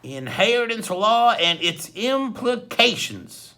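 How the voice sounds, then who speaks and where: Storybook villain's henchman, played by a male reader: croaky, loud, higher-pitched, threatening, sharply emphatic. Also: very animated, slow, full character voice. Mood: domineering. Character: menacing hook-handed henchman, sneering threatening man.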